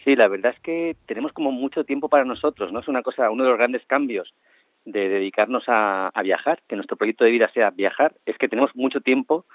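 A man in a relaxed interview over a tinny phone line, his voice dry and close. He speaks at an even middle pitch, pausing and restarting his phrases as he thinks. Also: dry recording. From Canary Islands, raised in Barcelona. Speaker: interview guest, traveling parent and author.

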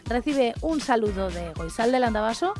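A woman's voice: a little high, expressive, moderate pace. A woman presenting a scripted literary radio programme as its host. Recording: music playing behind the voice. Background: background music.

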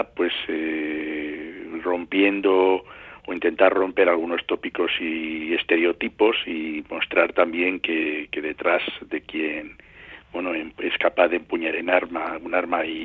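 A middle-aged or older man from Madrid with a Castilian accent, answering radio interview questions in a halting, measured way, searching for words. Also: sits low, slightly rough, no echo. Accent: Castilian Spanish from Madrid. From Madrid, Spain. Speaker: journalist and novelist, interview guest. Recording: muffled phone line into radio show.

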